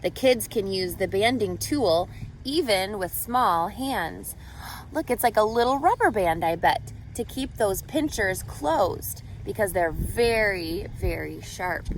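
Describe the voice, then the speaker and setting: Teacher lady doing a kids read aloud, really swoopy expressive voice, asks questions, some background noise. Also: medium pitch, slow pace, low-quality recording. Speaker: reading teacher, host of children's read-aloud. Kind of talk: picture-book read-aloud with questions and explanations to children.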